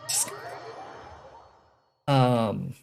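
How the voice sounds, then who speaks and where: A video game streamer giving casual live commentary, rambling and thinking out loud, with opinionated, sarcastic asides. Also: mid-range voice, huge pitch swings, very slow, gravelly. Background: game music.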